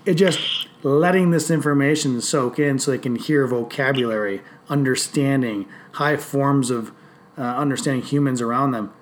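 Animated, foul-mouthed man in his thirties. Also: mid-range voice, moderate pace. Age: 30s. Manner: animated, swearing freely, storytelling. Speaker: podcast host. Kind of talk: casual podcast chat.

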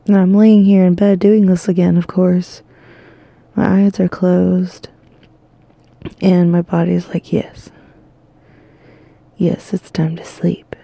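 A tired woman talks softly from her bed, drifting in and out of a whisper as her voice cracks. Her tone is very dark and gravelly with a husky edge. The sound is close and dry. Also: fairly low pitch, no room sound. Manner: soft, drowsy, half-whispered, rambling. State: exhausted, losing her voice. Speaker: podcast host. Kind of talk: sleepy bedtime monologue. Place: lying in bed at home.

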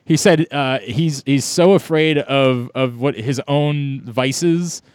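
A man's voice: medium pitch, slow, a little mellow, smooth, no echo, studio quality. A man hosting a podcast, effusive and thankful, with many fillers. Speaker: podcast host. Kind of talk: casual podcast chat.

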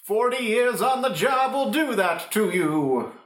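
An old veteran detective in a radio play, acted by a man, musing with dry humour. His voice runs high for a man and swoops widely, in an echoey room. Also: expressive. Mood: dryly teasing. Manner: musing, humming, drawn-out delivery. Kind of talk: improvised radio play acting.

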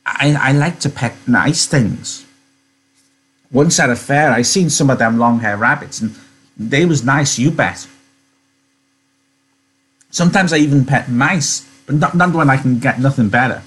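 A man's voice: medium pitch, a little slow, long pauses, fairly bright tone, slightly rough, pitched above the performer's own voice. A man reading a novel aloud, voicing a big, simple-minded farmhand who stammers, repeats himself and talks with childlike, anxious earnestness in rustic speech. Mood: earnest, hesitant.

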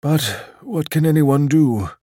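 A man's voice: on the high side, unhurried, mellow, slightly rough, no room sound, clean studio sound, voice pushed up. A man voicing a stiff, formal male club desk attendant, prim and proper.

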